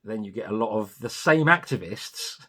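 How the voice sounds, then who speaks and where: A man's voice: on the low side, lively intonation, normal speed, sharp tone. A man co-hosting a casual film review podcast, giving blunt opinions and swearing freely. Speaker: podcast co-host.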